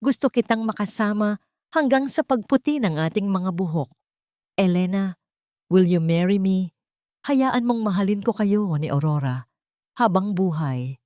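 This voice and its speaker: A woman's voice: medium pitch, animated, measured pace, pauses often, dark tone, no echo, clean studio sound, lightly acted. Woman voicing a tender, devoted Filipino man. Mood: tender, earnest. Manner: tender, earnest, pleading. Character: devoted, tender male doctor proposing marriage.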